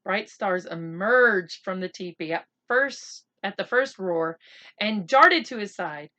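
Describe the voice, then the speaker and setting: A woman reading a children's book aloud as an expressive narrator. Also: mid-range voice, slow pace.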